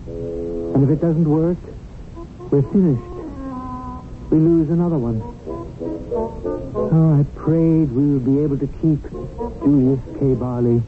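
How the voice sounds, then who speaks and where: A man's voice: a little high, animated, takes it slowly, long pauses, phone-quality sound. A man playing an earnest, worried guardian spirit in a 1970s radio drama, rueful and confiding. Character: earnest, worried male guardian spirit telling his story. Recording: thin 1970s radio recording with music. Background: music.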